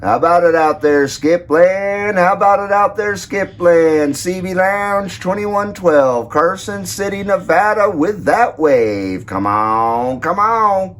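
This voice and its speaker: A man's voice: high voice, lively intonation, slow pace, few pauses. A man working a CB radio from his base station, stretching out his repeated calls and then pointing out the radio's features. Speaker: CB radio hobbyist. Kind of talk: calling out on CB radio and showing off a radio. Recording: loud radio static behind voice.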